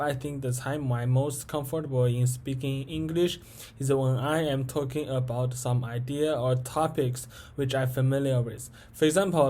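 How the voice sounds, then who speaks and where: A man's voice: mid-range voice, a little mellow. Hesitant young man, native Chinese speaker. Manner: hesitant, halting with fillers and restarts. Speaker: international university student. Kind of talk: spoken assignment answering set questions.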